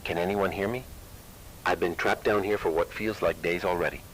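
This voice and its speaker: A man playing a frightened explorer trapped underground, speaking in halting, broken phrases in an analog horror tape. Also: steady tone, natural voice. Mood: frightened, disoriented. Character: frightened trapped male explorer lost in shifting tunnels. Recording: noisy found-tape recording with rumble.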